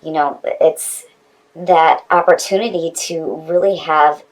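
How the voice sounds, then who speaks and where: Low-voiced woman giving a casual tarot reading. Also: steady tone, takes it slowly, rough recording. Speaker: tarot reader.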